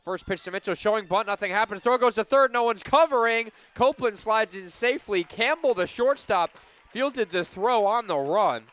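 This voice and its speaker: A man calling live softball play-by-play for radio, measured between pitches and animated on big plays.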